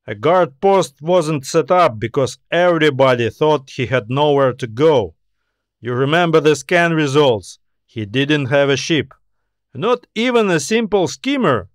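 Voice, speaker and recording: A man's voice: on the high side, no room sound, clean studio sound. A man voicing a self-assured, grim military colonel who gives formal, confident reports in a science fiction audiobook.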